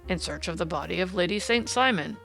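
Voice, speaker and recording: A woman voicing a gruff, irritable policeman in an audiobook, snarling and emphatic with indignation. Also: lowish voice, expressive, a little fast, fairly bright tone, faint music behind. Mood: disgruntled. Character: gruff, irritable, blustering male police detective.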